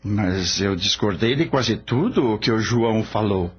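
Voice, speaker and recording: An actor playing a naive, curious young man new to the spirit world in a radio drama, husky, wondering and hesitant. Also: fairly low pitch, a little slow, a little hiss or hum, lightly acted. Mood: puzzled. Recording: dull lo-fi audio with background music.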